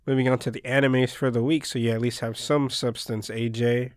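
A man whose first language is Spanish co-hosts a relaxed anime podcast in English. He recaps episodes in a loose, wandering way. His mid-pitched voice is recorded close and dry. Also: a little fast, no room sound. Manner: laid-back, rambling plot recaps. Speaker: podcast co-host. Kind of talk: casual anime discussion.

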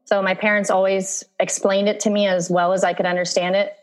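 A woman's voice: medium pitch, flat delivery, brisk. A woman from Virginia, a guest on a podcast, telling stories in a friendly, easygoing way and laughing often. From Virginia, USA. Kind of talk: casual interview chat.

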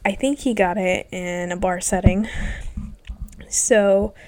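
A woman's voice: medium pitch, slow pace, darkish tone. Congested young woman rambling casually. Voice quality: stuffy nasal. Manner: rambling, swearing, lots of ums. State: congested, sick with covid. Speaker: vlogger. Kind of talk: casual personal chat.

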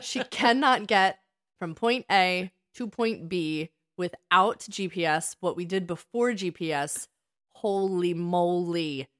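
A woman's voice: fairly low pitch, slow pace, fairly bright tone. A woman co-hosting a casual story-swapping chat with a friend, teasing playfully and often breaking into laughter as she talks. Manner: laughs often while talking. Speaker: podcast co-host.

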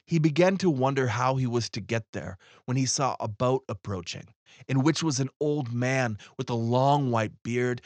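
A man's voice: mid-range voice, no room sound. A man reading a fairy tale aloud as scripted storybook narration. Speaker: audiobook reader.

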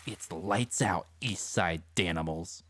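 A man's voice: low voice, takes it slowly, fairly bright tone, a little hiss or hum. A man voicing an angry, menacing boy gang boss, growling and hitting each syllable hard, in an audiobook story.

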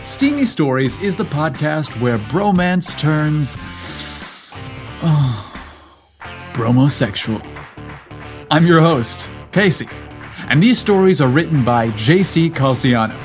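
A man's voice: fairly high pitch, lively intonation, slow, pauses often, faint music behind. A man narrating a scripted story as a podcast host, with a playful, cheeky manner.

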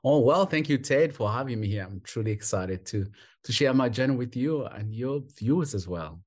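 A man's voice: mid-range voice, animated, normal speed, darkish tone. A man from Uganda, a guest on a podcast, telling his life story in an emphatic, heartfelt way. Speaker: guest, foster parent. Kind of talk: interview storytelling.

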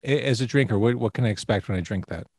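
A man's voice: fairly low pitch, fast, darkish tone, slightly rough, dry recording. A man hosting an interview, engaged and affirming as he asks follow-up questions. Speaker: interviewer host. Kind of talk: conversational interview.

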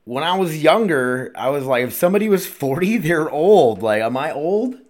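A man's voice: fairly high pitch, lively intonation, moderate pace. A man in his late thirties hosting a casual solo podcast, rambling and restarting his sentences, swearing freely. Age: 30s. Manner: rambling, profane, self-interrupting. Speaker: podcast host.

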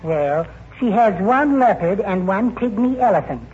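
An actor playing a timid, polite, henpecked little husband in a 1940s radio comedy, meek, prim and hesitant. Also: male voice, high-pitched, animated, measured pace. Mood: meek, earnest. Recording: noisy muffled 1940s radio broadcast.

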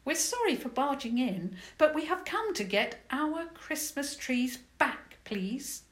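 Woman doing a high, earnest young princess. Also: big pitch swings, slow pace, fairly bright tone, exaggerated voice. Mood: polite. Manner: earnest and formal. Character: polite, brave young princess. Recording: echoey room.

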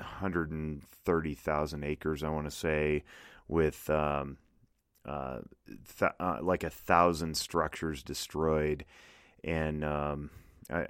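Male solo podcast host, extremely low and resonant, slightly scratchy from smoke, halting with lots of fillers. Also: clean tone, dry recording, studio quality. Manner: hesitant, many fillers and restarts. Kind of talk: casual solo podcast talk. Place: home studio in the Reno area.

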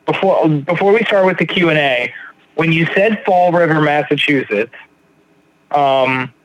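Brief: male podcast host, slightly high voice, halting, stumbles and repeats words. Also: lo-fi sound. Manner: halting, repeats words, many ums and likes. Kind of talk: casual interview.

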